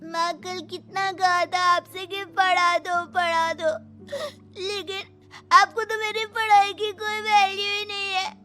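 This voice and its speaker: Very high, crying little schoolboy. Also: female voice, little pitch movement, takes it slowly, pauses often, sharp tone, full character voice. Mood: tearful, reproachful. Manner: wailing, reproachful. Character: whiny, tearful young schoolboy son. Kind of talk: animated moral-story voice acting.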